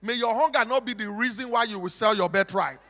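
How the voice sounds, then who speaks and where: A man with a Nigerian accent and a strikingly high, bright voice tells stories in a preacher's style. He drives points home by repeating them and throwing questions at his listeners. His words ring through a hall with long reverb. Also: a little fast. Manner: emphatic, repetitive, with rhetorical questions. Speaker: stage speaker and comic storyteller. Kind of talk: animated preaching-style storytelling to an audience.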